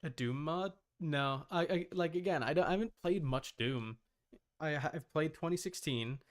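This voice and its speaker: A man's voice: fairly high pitch, moderate pace. A man chatting casually as a streamer, reacting to a video. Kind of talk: casual livestream chat.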